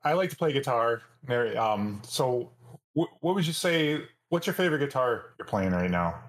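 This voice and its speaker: Guy podcast host, medium pitch, casual interview chat, kinda hesitant phrasing. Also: big pitch swings, moderate pace.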